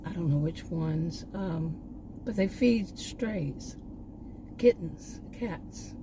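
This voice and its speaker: Lady vlogging outside, kinda low voice, going hoarse, wanders off mid sentence a lot. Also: extremely slow, background noise. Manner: meandering, trails off and restarts. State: losing her voice. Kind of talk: rambling casual chat. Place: outdoors.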